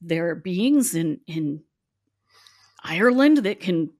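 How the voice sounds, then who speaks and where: A woman's voice: fairly low pitch, lively intonation, slow, a little mellow. A middle-aged woman from West Virginia with an Appalachian accent, telling stories in a chatty, laughing way. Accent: Appalachian American. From West Virginia, USA. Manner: chatty, laughing, acts out quoted voices. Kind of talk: casual storytelling chat.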